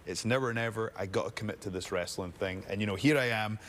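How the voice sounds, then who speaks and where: A man's voice: fairly low pitch, quick pace, a bit bright, slight background noise. Scottish wrestler guy, mild accent, cheeky hype man energy on a tv set. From Scotland. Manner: showy, hyping, playful boasting. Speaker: wrestler guest. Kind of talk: casual TV interview chat. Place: TV studio.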